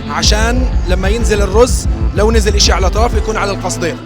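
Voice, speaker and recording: A young man hosting a cooking vlog, talking to viewers in a brisk, excitable, joking way. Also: high-pitched. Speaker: cooking show host. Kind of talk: casual on-location cooking vlog narration. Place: busy event venue with a large outdoor cooking area. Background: background music.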